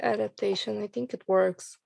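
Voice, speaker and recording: Woman podcaster just chatting, bit high with lots of fry, dry close sound. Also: fairly even intonation, normal speed, darkish tone, no echo. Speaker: fiction podcast creator on a panel. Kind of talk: casual chat.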